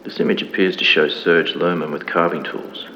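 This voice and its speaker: A man playing a solemn 1950s documentary narrator, reading a scripted horror mystery in a formal, measured, grave manner. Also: sits low, steady tone, bright, forceful tone. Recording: dull lo-fi, long reverb, clear background noise.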